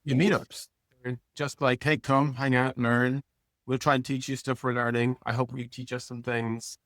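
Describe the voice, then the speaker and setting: A man's voice: medium pitch, little pitch movement, moderate pace, a bit bright, dry recording, clean studio sound. A man speaking as an interview guest in a casual, plain-spoken way, like an executive talking informally about work. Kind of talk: casual conversational interview answers.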